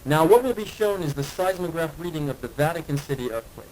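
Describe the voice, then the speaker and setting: A man's voice: a little high, animated, brisk, a little mellow, close to an everyday voice. A man playing an official archive announcer, reading scripted confidential narration formally for an analog horror film. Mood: formal, solemn. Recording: faintly noisy archive tape.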